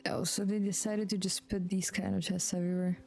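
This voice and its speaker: A woman's voice: medium pitch, little pitch movement, a little fast, gravelly. A woman live streaming a game with casual, offhand commentary. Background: game music.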